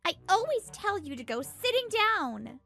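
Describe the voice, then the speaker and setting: A woman's voice: extremely high pitch, big pitch swings, measured pace, vocal fry, pushed and bright, soft background music, heavily acted. A woman playing an exasperated young pregnant housewife in a manga dub, complaining expressively and narrating her troubles.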